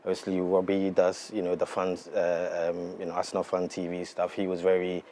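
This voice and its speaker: A man's voice: low-pitched, completely flat delivery, normal speed, dark tone, a little hiss or hum. A man, speaking politely and hesitantly as an interview guest. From born in Ghana, moved to UK. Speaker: guest, sports agency partner. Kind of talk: measured interview talk.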